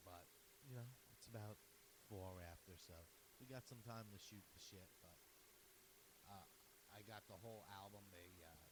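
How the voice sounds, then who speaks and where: A male radio show host chatting loosely and swearing casually while he waits for a caller, his voice deeper than usual from allergies. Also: heavy background noise. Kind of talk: casual live show banter.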